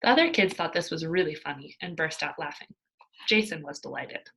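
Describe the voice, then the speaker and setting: Husky-edged woman reading a story aloud. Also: sits low, expressive, fairly quick, fairly bright tone, rough recording. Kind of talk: expressive storybook read-aloud.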